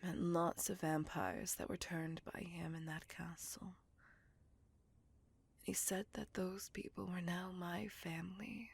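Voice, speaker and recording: Breathy, whispering melancholy vampire woman, acted out. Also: fairly low pitch, level tone, exaggerated voice. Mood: reflective, subdued. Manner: slow, intimate, confessional storytelling. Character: beautiful, melancholy, bitter female vampire recounting her past. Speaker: voice actor in audio roleplay. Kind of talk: whispered ASMR roleplay monologue.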